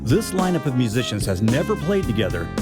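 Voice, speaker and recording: Man, show host, scripted intro, lively pitch, playful announcer delivery, loud band music behind. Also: fairly quick. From Japan. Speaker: host and bassist. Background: funky band music.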